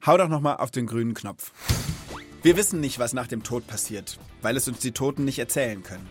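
Conversational podcast host with a middling pitch. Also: brisk, soft background music. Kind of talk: casual, reflective chat for a children's podcast.

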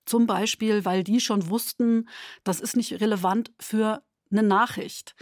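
A woman's voice: mid-range voice, a little mellow, no echo. Woman explaining in an interview, dry sound. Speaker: radio drama maker interviewed about the production.

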